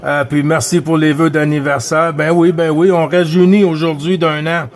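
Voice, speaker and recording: High-pitched Quebec man on CB radio. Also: level tone, moderate pace. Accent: Quebec French. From Quebec, Canada. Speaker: radio amateur operator running a contact session. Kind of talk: CB radio contact chat.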